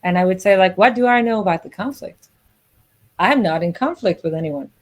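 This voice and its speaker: A woman from Israel hosting an interview podcast, chatty and animated, laughing easily. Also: mid-range voice, a little fast. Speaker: podcast host. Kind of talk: casual interview chat.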